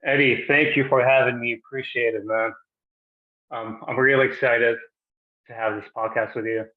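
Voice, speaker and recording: A man's voice: medium pitch, steady tone. Iraqi-born man on a tinny line. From Iraq. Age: 30s. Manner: halting, with many restarts and fillers. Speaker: podcast guest. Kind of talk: casual interview storytelling.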